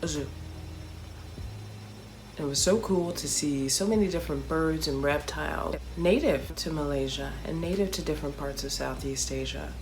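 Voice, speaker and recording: A woman from Chicago, a comedian, narrating a travel story in a chatty, animated storytelling way with playful asides. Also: low-pitched, normal speed, background noise. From Chicago, United States.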